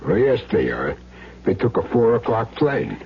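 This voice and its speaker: An actor playing a cornered, soft-hearted hitman in a 1970s radio drama, intense and defensive. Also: lowish voice, sing-song, normal speed, vocal fry, husky, heavily acted. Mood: bitter, confident. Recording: dull, noisy old radio recording.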